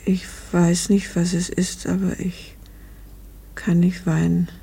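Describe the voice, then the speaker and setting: An actress playing a devoted, grieving middle-aged wife in a 1950s German radio drama, her voice breathy and hushed, her phrases halting. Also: fairly low pitch, monotone, heavily acted. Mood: numb grief. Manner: slow, halting, repeating phrases.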